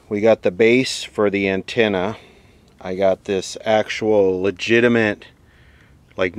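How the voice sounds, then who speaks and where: Low-voiced man talking slowly. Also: no room sound. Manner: rambling, thinking aloud. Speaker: vlogger demonstrating vintage radio equipment. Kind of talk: casual, unscripted hands-on commentary.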